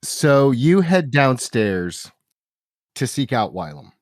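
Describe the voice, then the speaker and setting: A man's voice: mid-range voice, big pitch swings, takes it slowly, no room sound, clean studio sound. A man improvising narration and dialogue as game master of a tabletop role-playing game, with music behind him.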